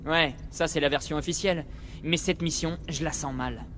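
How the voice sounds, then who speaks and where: A man's voice: a little high, fairly even intonation, moderate pace, fairly bright tone, in a large hall, slight background noise, close to an everyday voice. A man voicing a cocky, paranoid, grumbling military spaceship pilot in a video game. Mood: grumbling, sarcastic.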